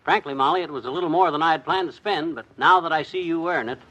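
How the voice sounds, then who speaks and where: An actor playing a blustery, boastful middle-aged husband in a 1950s radio sitcom. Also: male voice, fairly high pitch, sharp tone, low-quality recording. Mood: cheerful. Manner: blustering, quick to bluster at others.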